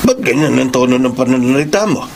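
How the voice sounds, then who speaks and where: A man's voice: mid-range voice, expressive, quick pace, vocal fry, slight background noise. An actor playing a middle-aged Filipino father in a radio drama, scolding and dismissive. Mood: reproachful. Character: stern, reproachful father of a grown daughter.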